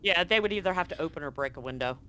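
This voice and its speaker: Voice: mid-range voice, lively intonation, racing, sharp tone, a little hiss or hum. A game master narrating a tabletop roleplaying game in an informal, sweary way.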